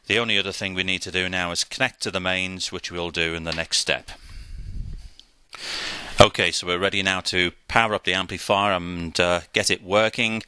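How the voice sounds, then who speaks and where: A man's voice: deep voice, bright, forceful tone, smooth, no echo. A man giving a methodical, step-by-step instructional equipment demonstration. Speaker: product demonstrator.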